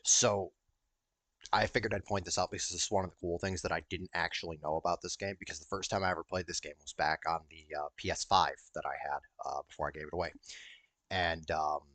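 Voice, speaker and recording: A man's voice: sits low, steady tone, quick pace, a bit bright, dry recording. A man giving casual, rambling playthrough commentary as a gaming YouTuber, hesitant and sweary.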